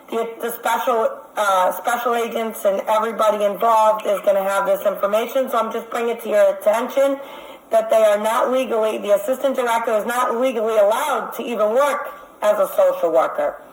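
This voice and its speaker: A woman is on a phone call lodging a formal complaint. Her delivery is halting yet insistent and accusatory, with a mid-range pitch. The recording has a strong echo around her voice. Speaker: caller making a complaint.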